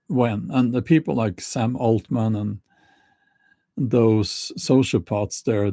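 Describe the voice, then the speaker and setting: A middle-aged man with a Norwegian accent talking casually to camera, slow and halting with many restarts. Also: fairly low pitch, creaky, warm tone, no room sound. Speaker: YouTuber.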